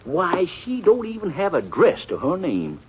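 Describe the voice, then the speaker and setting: An actor playing an excitable, kindhearted frontier wagon peddler with a folksy rural American drawl in an old-time radio western. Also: male voice, high-pitched, moderate pace. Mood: gossipy, sympathetic. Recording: muffled, noisy old radio broadcast.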